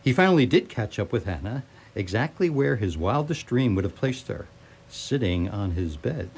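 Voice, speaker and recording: A man's voice: on the low side, sing-song, normal speed, slightly rough. A man narrating a scripted radio theatre comedy as a wry, playful storyteller.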